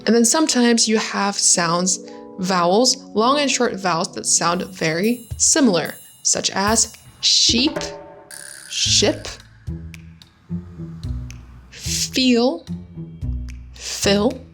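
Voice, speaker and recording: Young woman, vietnamese first language, teaching english sounds real slow and careful, soft music under. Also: mid-range voice, lively intonation. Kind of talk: pronunciation lesson to camera.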